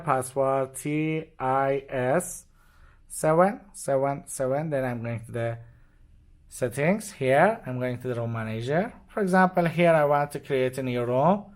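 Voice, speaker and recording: A man with non-native, halting English walks step by step through an unscripted product demonstration. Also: mid-range voice. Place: indoors at a desk with devices.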